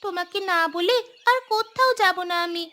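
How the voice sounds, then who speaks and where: A young village daughter from a cartoon, acted by a woman, with an extremely high, bright voice. She speaks in a childlike, pleading manner, apologetic toward her mother. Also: moderate pace. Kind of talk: Bengali cartoon voice acting.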